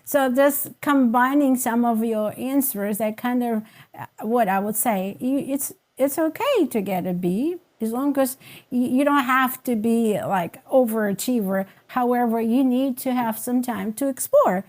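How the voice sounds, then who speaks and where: A woman's voice: a little high, a little slow, a little mellow. A woman from Ukraine with a Ukrainian accent, speaking English as a second language in a casual group podcast chat, reflective and emphatic. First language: not English. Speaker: podcast guest.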